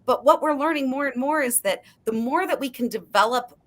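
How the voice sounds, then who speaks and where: A woman's voice: sits high, a little fast, a bit bright. A woman guest on a literacy panel, explaining research in an earnest way and often restarting her sentences. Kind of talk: panel discussion.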